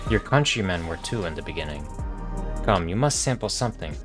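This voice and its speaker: Low-voiced man reading game dialogue over music. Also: a little fast. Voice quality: monotonous. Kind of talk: read-aloud of video game dialogue with casual asides.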